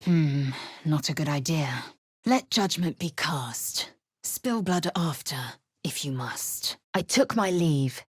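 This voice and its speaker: An actress playing a fierce, imperious alien warrior woman in a video game, husky, clipped, commanding and disdainful. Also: deep voice, animated, takes it slowly, dry recording, clean studio sound, full character voice. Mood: curt, commanding. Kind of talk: video game character voice lines.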